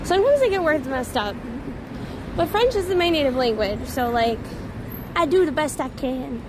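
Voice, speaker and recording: A woman's voice: high-pitched, animated, moderate pace. A young woman hosting a live stream on a phone while she walks outdoors, chatting and answering viewer comments, her voice slightly strained. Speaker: livestream host. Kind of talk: casual live stream chat while walking. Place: outdoors on a busy city street. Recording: loud outdoor street noise. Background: street traffic.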